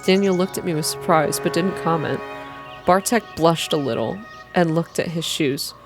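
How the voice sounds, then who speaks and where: A woman softly reading a scripted first-person story in a measured ASMR style, as narrator. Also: lowish voice, over music. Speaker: reader, narrator.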